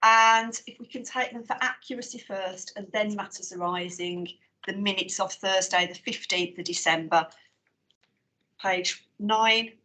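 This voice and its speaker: Bright-voiced woman chairing a meeting. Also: medium pitch, low-quality recording. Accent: English West Midlands. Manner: measured, procedural, with frequent fillers. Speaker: committee chair.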